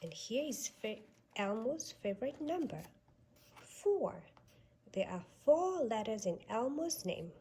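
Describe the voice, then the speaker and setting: Woman, children's storybook reader, slightly high voice, very animated sing-song delivery, read-aloud style. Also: a little slow, a little hiss or hum.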